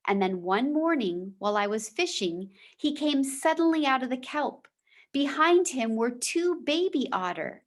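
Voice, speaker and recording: Unhurried woman reading a story aloud. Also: on the high side. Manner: measured, deliberate storybook delivery. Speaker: teacher reading a book aloud to fourth graders. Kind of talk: read-aloud storytelling with short explanatory asides.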